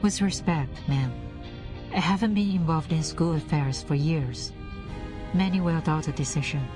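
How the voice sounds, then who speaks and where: A woman's voice: deep voice, moderate pace, close to an everyday voice. An actress playing a modest, dutiful female subordinate in a video game, speaking in a careful, deferential, formal way. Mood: respectful, hesitant. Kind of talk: dramatic video game voice acting. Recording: music under the voice. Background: background music.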